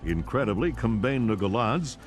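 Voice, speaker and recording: A man's voice: fairly low pitch, lively intonation, moderate pace, natural voice. An actor playing a formal, serious male television news anchor reading scripted reports in a video game. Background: clear background music.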